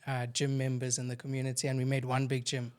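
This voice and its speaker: A man's voice: mid-range voice, completely flat delivery, quick pace, smooth. A man from Durban with a South African accent, a podcast guest candidly telling his story with some jokes.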